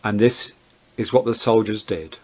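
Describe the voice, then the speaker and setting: A man narrating a dramatized Bible reading in a measured, deliberate way. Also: on the low side, darkish tone, breathy edge.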